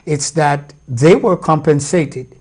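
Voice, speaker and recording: Reflective older man, guest from Jamaica. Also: fairly high pitch. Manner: reflective, slow and hesitant. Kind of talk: casual interview conversation.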